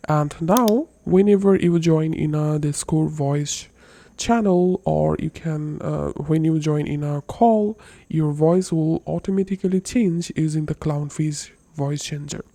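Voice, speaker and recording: Tutorial presenter: dark, relaxed timbre, step-by-step instructional read, slightly non-native phrasing. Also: mid-range voice, creaky, slight background noise. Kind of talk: step-by-step software tutorial narration.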